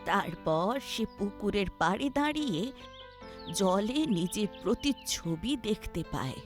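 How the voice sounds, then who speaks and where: A woman narrating a scripted children's story with an expressive storybook delivery. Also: medium pitch, unhurried, faint music behind.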